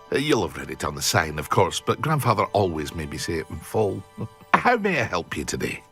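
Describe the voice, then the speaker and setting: Chipper potion shopkeeper, very lively pitch, game cutscene. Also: male voice, normal speed, faint music behind, heavily acted. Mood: cheerful. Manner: eager, talkative, fond of his craft. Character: cheerful chatty male potion shopkeeper.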